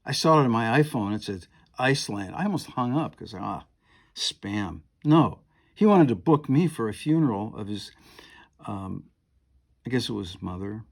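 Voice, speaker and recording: A man's voice: lowish voice, lively intonation, normal speed, gravelly. A man in his seventies, a piano and harp player, talking at home in a meandering, chatty way with lots of fillers and asides. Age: 70s. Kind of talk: rambling monologue to camera.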